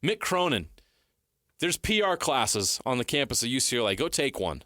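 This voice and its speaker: Male sports talk host, highly animated and emphatic, arguing his point in a dry, close studio sound. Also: moderate pace, dry recording. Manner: emphatic, rhetorical questions, repeats phrases for stress. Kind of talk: heated sports commentary and debate.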